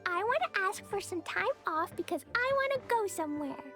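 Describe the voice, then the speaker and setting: A woman's voice: extremely high pitch, lively intonation, moderate pace, bright, forceful tone, full character voice. A woman voicing an innocent, earnest little girl knight in an anime game, with a small childlike way of speaking. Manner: childlike, speaks of self by name. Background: children's game music.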